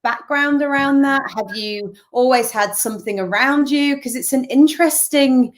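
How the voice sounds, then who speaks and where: A woman's voice: high-pitched, normal speed. A woman hosting an interview, asking long rambling questions with many restarts. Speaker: interview host, business school founder. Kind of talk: casual interview talk.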